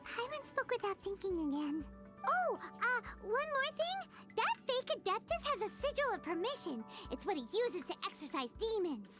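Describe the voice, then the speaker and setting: A tiny floating companion girl: very high, bouncy, chatty, game music underneath. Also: sing-song, normal speed. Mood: chirpy. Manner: talks of herself in third person, bubbly.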